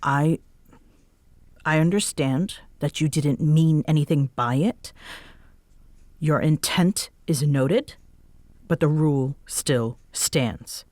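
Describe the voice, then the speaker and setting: Woman acting as bossy young female roommate, low voice, slow pace, curt and guarded, dry close sound, roleplay audio drama. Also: a little mellow, dry recording. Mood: stern, guarded. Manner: curt, halting, defensive.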